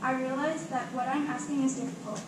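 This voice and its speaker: A woman's voice: sits high, fairly even intonation, brisk. A teenage girl, a student, earnestly reading a formal prepared speech at a public meeting. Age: teens. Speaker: student speaker at a school board meeting. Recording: echoey room, distant mic, clear noise.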